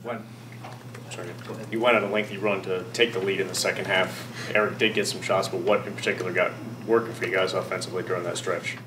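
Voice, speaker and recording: A man putting quick questions as a sports reporter at a press conference, heard from off microphone. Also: low voice, little pitch movement. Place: indoor press conference room. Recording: echoey, noisy, far from mic.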